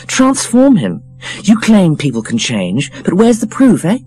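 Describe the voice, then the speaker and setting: A man's voice: high-pitched, huge pitch swings, unhurried, warm tone, slightly rough, soft background music, voice pushed up, full character voice. Guy doing a snobby young woman, raised swoopy voice, cutting and sweary, audiobook style. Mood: scornful, insistent. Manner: sneering, emphatic, cutting. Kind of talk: audiobook character voice.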